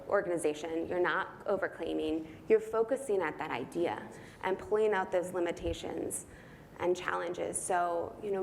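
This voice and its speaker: A woman's voice: on the low side, vocal fry, slight background noise, rough recording. A young woman answering audience questions at a talk, thinking aloud and often tagging her points with "right?". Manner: earnest, thinking aloud, frequent "right?" tags. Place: conference room.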